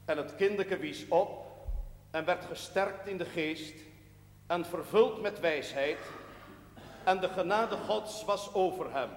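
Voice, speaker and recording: High-voiced preaching priest, echoing church. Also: male voice. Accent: Dutch. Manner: solemn, declamatory, pompous. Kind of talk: sermon. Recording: echoing church acoustic, long reverb.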